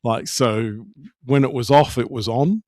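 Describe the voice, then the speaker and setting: A man's voice: mid-range voice, a little slow, a little mellow, no echo, clean studio sound. A middle-aged man chatting casually as a podcast co-host, wry, often restarting and repeating his phrases. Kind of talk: casual banter.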